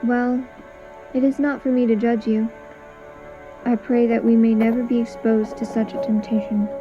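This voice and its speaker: A woman playing a grave, merciful Victorian male detective in an amateur audio drama, speaking in a measured, formal way. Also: a little high, little pitch movement, natural voice. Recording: dull lo-fi recording, music behind.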